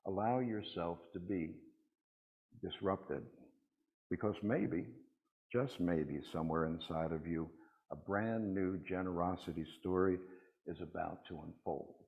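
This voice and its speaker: A man delivering a prepared, sermon-like reflection at a worship service in deliberate, rhetorical phrases with pauses. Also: low-pitched, vocal fry, narrow, tinny audio.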